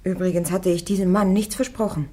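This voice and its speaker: A lowish female voice plays a young peasant warrior on trial as acted by a woman. She is devout, stubborn and plain-spoken, in the manner of a radio drama. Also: fairly even intonation, brisk, warm tone, close to an everyday voice. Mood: plain, firm. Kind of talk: German radio play acting.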